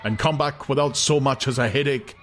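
A man's voice: mid-range voice, fairly quick, fairly bright tone, faint music behind, voice pushed up, natural voice. A man voicing breezy, smug young fellows in an audiobook, jauntily reciting slogans and advice. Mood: breezy, insistent. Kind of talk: dramatized audiobook acting.

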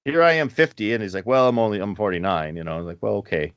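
A man in his forties talks in a low register, wandering through casual asides and chuckling as he goes. The sound is dry and close, with a slightly muffled, lo-fi quality to the recording. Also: very animated, quick pace, clear, resonant tone, no echo. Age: 40s. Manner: rambling, chuckles between asides. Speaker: podcast co-host. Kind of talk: casual chat.

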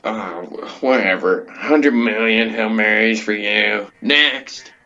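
A man playing a brash, dim, impatient comedy show host, blunt and exclamatory, quick to dismiss people. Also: fairly low pitch, bright, forceful tone, muffled recording, slight background noise.

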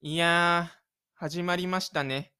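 A man's voice: fairly high pitch, fairly even intonation, slow, pushed and bright, smooth. A man presenting his own self-made audio drama, moving between casual radio-show talk and scripted narration.

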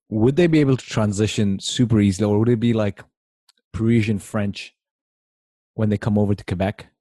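Man, low voice, warm and mellow, curious rambling questions. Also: normal speed, dry recording. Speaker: host. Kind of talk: casual conversation.

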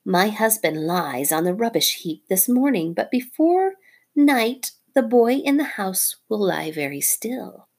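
A cold, menacing serpent queen from a storybook, drawing out every word in a husky, breathy hiss, as a woman puts her on. Her voice sits higher than the reader's own and creeps along slowly. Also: big pitch swings, a little mellow, full character voice. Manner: slow hissing half-whisper. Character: menacing female cobra, cold and cruel.

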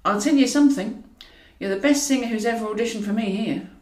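A woman's voice: on the high side, quick pace, darkish tone, lightly acted. A woman voicing a bluffing female talent agent in an audiobook, politely gushing with careful tact. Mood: flattering, bluffing. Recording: roomy echo, distant from mic.

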